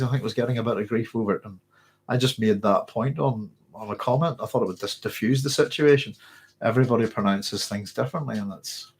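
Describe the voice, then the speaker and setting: A man's voice: on the low side, husky. A man with a Northern Irish accent, hosting a casual live stream, chatting and reading comments, his throat tickly with a cough. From Northern Ireland. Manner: rambling, chatty, frequent fillers and restarts.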